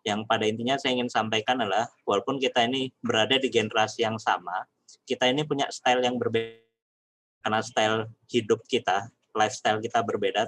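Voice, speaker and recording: A man's voice: mid-range voice, fairly bright tone, dry recording. A man presenting a finance talk on a webinar, explaining patiently and filling with "nah". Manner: explanatory, addresses audience as friends, many "nah" fillers. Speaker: webinar speaker on personal finance.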